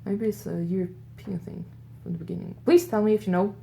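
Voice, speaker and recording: A woman with a Swedish accent hosts a solo audio show in an easy, improvised way, thinking out loud and asking her listeners questions. Her voice is dark and mellow, with a gravelly fry running through it. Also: mid-range voice, fairly even intonation, fairly quick, a little hiss or hum. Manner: chatty, musing aloud with curious asides to listeners. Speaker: host of an audio advent show. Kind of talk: improvised solo radio-style talk.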